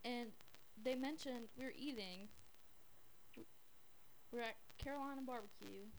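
A young woman with a fairly high voice co-hosts an informal podcast. She tells anecdotes conversationally, quoting herself and others with plenty of "like". The amateur recording carries some faint noise. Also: a little slow, poor audio. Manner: informal, heavy use of "like" when quoting. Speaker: podcast co-host. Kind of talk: casual chat, telling anecdotes.

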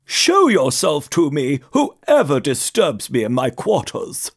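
A woman's voice: deep voice, huge pitch swings, slow pace, breathy edge, exaggerated voice. A woman voicing a wise, ruffled owl in a children's storybook, with an imperious, declaiming manner. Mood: stern, indignant. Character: wise, grumpy, ruffled owl who turns kindly.

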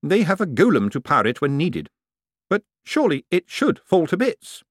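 A man voicing a quick-witted young male schemer who talks in a brisk, incredulous way. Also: a little high, wildly swooping pitch, no room sound, clean studio sound, voice pushed up.

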